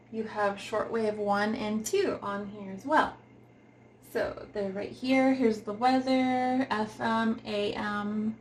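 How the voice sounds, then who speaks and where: A woman with a fairly high voice talks through a product review in a casual, unscripted way. She is informal and giggly, hesitating as she hunts for words. There is some background noise on the amateur recording. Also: poor audio.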